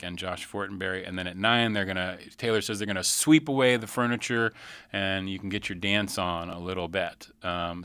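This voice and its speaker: Male radio host for an arts chat: very deep but bright, enthusiastic, closely recorded and dry. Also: clear, resonant tone, no room sound. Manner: enthusiastic, chatty. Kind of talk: live arts interview with announcements.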